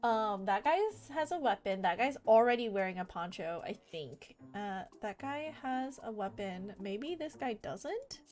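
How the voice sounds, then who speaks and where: A woman's voice: medium pitch, expressive, a little slow, hardly any pauses, darkish tone. A woman game streamer on a live playthrough, reacting expressively and reading dialogue aloud. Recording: music behind the voice.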